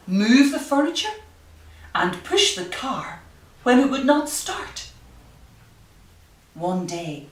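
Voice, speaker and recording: A woman reading a children's picture book aloud expressively, with dramatic emphasis and drawn-out key phrases. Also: medium pitch. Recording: roomy echo, distant microphone.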